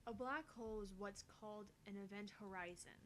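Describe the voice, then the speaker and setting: A woman's voice: a little fast, lightly acted. A woman voicing an earnest, easily flustered young female scientist from a visual novel, explaining with the odd stammer. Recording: faint noise with low rumble.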